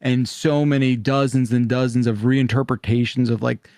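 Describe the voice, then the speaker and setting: A man's voice: mid-range voice, flat delivery, moderate pace, a little mellow, no echo, clean studio sound. A man in his forties chatting casually as a podcast panelist, explaining things to his friends. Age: 40s.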